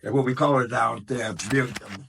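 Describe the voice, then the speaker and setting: A man's voice: medium pitch, normal speed, creaky, fairly bright tone, slight background noise. Rambling man in his nineties. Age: 90s. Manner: rambling, repeats words, restarts often. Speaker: grandfather telling stories. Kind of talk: casual storytelling.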